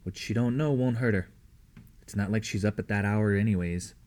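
A man's voice: on the low side, brisk, darkish tone, close to an everyday voice. Man voicing a blunt, folksy army wife. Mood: conspiratorial. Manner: folksy, blunt, grumbling, nonstandard grammar. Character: blunt, gruff pregnant British army wife.

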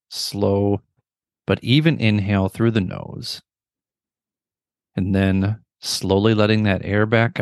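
A middle-aged man guides a meditation in a low voice with plenty of gravelly creak. His phrasing is measured, gentle and instructional, broken by pauses. The recording is dry and close, with very little room sound. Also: no echo.

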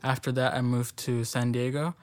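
A young man in his twenties, co-hosting a college podcast in a relaxed, friendly way with casual fillers. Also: mid-range voice, normal speed, smooth. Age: young adult. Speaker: podcast co-host.

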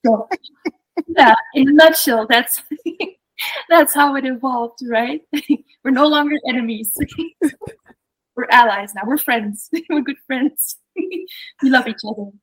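A woman's voice: sits high, takes it slowly, pauses often, breathy edge, low-quality recording. A young adult woman from Belgium, a clinical psychologist, telling her story as an interview guest, hesitant with many fillers and restarts. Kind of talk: casual interview talk.

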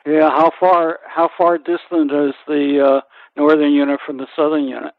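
A man's voice: on the high side, little pitch movement, moderate pace, dry recording. A man hosting a conversational interview, asking questions and introducing guests. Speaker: interview host. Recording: thin, phone-like radio sound.

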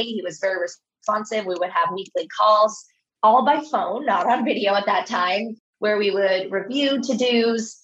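A woman in her thirties, an author being interviewed, telling stories in an earnest, conversational way and often restarting her sentences. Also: mid-range voice. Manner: earnest, anecdotal, repeats words when starting. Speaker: author and guest. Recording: slightly dull, lo-fi audio.

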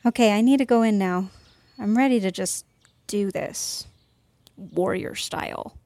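A woman's voice: medium pitch, measured pace, vocal fry, dark tone, no echo. A woman playing an earnest, fierce but contrite young woman in a naturalistic podcast drama, speaking sincerely and carefully. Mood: tender, resolved. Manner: sincere, careful, emotionally open. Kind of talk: naturalistic fiction podcast acting.

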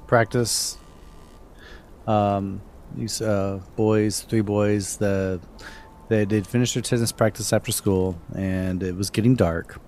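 A middle-aged man hosting a podcast, casually telling spooky stories with halting, repeated starts. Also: lowish voice, darkish tone. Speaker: podcast host and storyteller.

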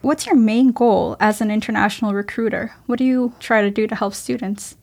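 A woman's voice: a little high, brisk, creaky, a little mellow. A young woman hosting a university podcast, friendly and upbeat as she interviews guests. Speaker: podcast host.

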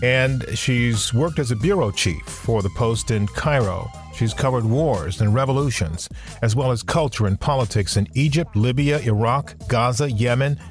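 A man hosting a radio talk show on race, introducing his guest in a measured, formal way. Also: medium pitch, soft background music. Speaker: podcast host.

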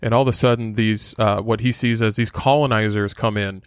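Earnest podcast host, dry close sound. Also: male voice, on the low side, steady tone, brisk, clean tone, no echo. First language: Portuguese. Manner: earnest, lecturing with frequent filler sounds.

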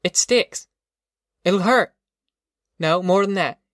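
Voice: medium pitch, takes it slowly, fairly bright tone, dry recording, studio quality, pitched above the performer's own voice. A reader as an anxious, timid young countrywoman.

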